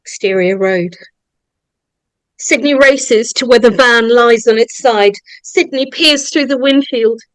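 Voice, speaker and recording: A woman narrator reading horror screenplay stage directions in a dramatic, suspenseful way, with deliberate pauses. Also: fairly high pitch, dry recording, rough recording. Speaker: narrator of a script table read.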